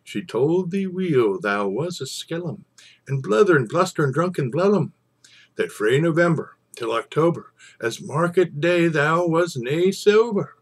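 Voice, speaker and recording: A man with a husky voice, speaking as a storyteller and savouring his phrases with theatrical pauses. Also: a little high, animated. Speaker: storyteller and poetry reader. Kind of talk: informal introduction, then dramatic poetry recitation.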